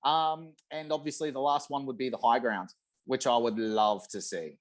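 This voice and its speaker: A man's voice: mid-range voice, fairly even intonation, normal speed. A man in his thirties chatting casually and emphatically about a video game on a video call, with lots of enthusiasm. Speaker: podcast guest. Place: room at home, on a video call.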